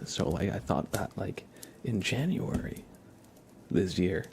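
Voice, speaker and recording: Low-voiced man in hushed ASMR chat. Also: gravelly, a little hiss or hum. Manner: rambling, playful asides to viewers. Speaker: livestream host. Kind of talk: casual ASMR livestream chat, often whispered.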